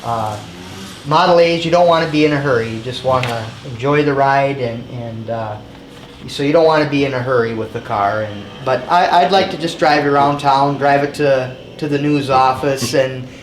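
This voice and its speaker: A man from Michigan chatting casually as an interview guest, halting and repeating words as he goes. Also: medium pitch. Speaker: interview guest, car collector. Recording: echoey, clearly noisy location sound.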